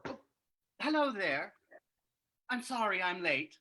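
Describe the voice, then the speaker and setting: Two-faced male villain for radio play , played by a woman: theatrical, bright, wildly swinging pitch. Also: very animated, takes it slowly, exaggerated voice. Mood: meek, apologetic. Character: villainous Mister Darrow, meekly apologetic man turning maniacal. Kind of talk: old-time radio drama reading.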